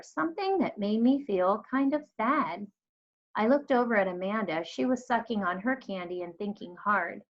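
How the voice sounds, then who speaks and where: Woman reading a storybook, dull recording. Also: medium pitch. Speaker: teacher reading a children's novel aloud. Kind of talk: expressive storybook read-aloud.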